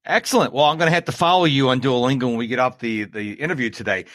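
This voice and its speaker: A man's voice: medium pitch, fast, a bit bright, dry recording, clean studio sound. A man hosting a podcast, chatting in an upbeat, folksy way. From United States. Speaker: podcast host. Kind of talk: casual interview chat.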